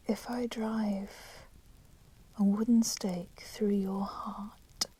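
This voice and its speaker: A woman playing a coolly menacing, possessive female vampire in a whispered ASMR roleplay, with soft, breathy delivery and formal, deliberate phrasing. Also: a little high, full character voice. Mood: wry, contemptuous. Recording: steady background noise, crackling fire sound. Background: crackling fire.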